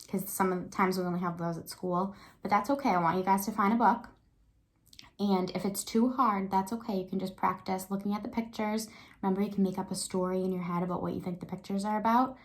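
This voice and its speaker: A woman teacher giving a read-aloud lesson to young children, explaining simply with encouraging questions and frequent check-ins. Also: medium pitch. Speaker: elementary school teacher.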